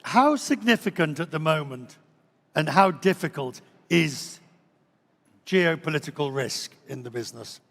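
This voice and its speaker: A man's voice: on the high side, lively intonation, measured pace, gravelly, a bit bright. A man moderating a panel, asking questions with hesitations and cutting in. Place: large conference hall stage. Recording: long hall reverb.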